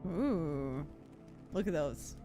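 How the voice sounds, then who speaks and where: A woman streaming a game, her pitch very animated while her pace stays slow and drawn out. She comments casually in a playful, slangy, giggly way, with game music underneath.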